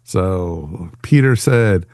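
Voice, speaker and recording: A man's voice: sits low, expressive, extremely slow, a little mellow, no echo, clean studio sound. A man hosting a podcast in a casual, chatty, informal way. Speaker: podcast host.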